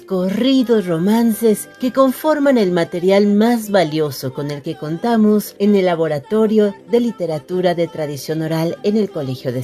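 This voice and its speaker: A woman's voice: medium pitch, mellow. Woman reading a podcast welcome. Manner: reads a prepared welcome and guest introduction. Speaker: podcast host. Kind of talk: scripted podcast introduction.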